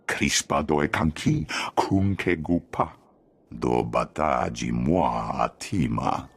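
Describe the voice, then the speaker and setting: Alien elder for a game: very low, slow, swooping intonation, invented speech. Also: male voice, lively intonation, husky, full character voice. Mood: grave, instructive. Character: deep-voiced male alien elder speaking an invented tongue. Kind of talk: video game voice acting.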